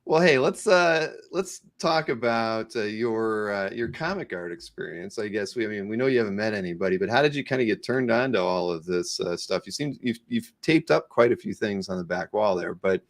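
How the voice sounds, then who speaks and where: Low-pitched man hosting a casual interview. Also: lively intonation, normal speed, few pauses. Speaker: interview host. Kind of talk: casual live interview chat.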